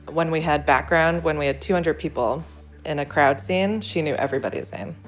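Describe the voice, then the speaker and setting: A woman's voice: deep voice, a little fast, soft background music. A woman film crew member in a documentary interview, speaking earnestly and admiringly.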